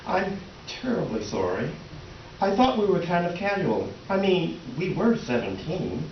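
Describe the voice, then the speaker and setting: Man acting baffled husband, wildly swooping pitch. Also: big pitch swings, a little slow, full character voice. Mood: puzzled, apologetic. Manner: hesitant, earnest, awkwardly puzzled. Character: polite, baffled middle-aged husband, awkward and placating. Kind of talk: comic stage-play reading. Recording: echoey, distant, muffled noisy live recording.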